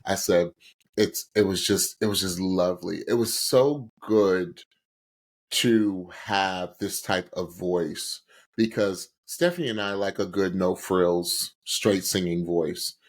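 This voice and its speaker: A man's voice: low voice, fairly even intonation, slow. A man co-hosting a podcast, giving casual reaction commentary in a gushing way and repeating words for emphasis. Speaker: podcast co-host.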